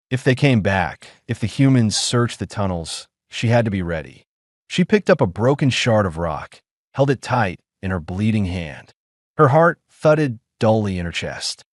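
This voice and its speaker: A man narrating a sci-fi audiobook in a dramatic, measured storytelling manner. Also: lowish voice, dry recording, studio quality. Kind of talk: scripted narration.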